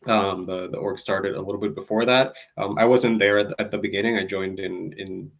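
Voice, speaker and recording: Man, from Puerto Rico, low-pitched voice, earnest, halting interview answers. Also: steady tone, vocal fry. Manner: earnest, many restarts and fillers. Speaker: guest, advocacy group president.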